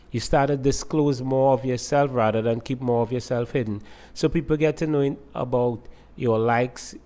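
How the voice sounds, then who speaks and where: Man giving a measured lecture. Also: medium pitch, darkish tone. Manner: explains step by step with repeated phrasing. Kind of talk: recorded lecture to students.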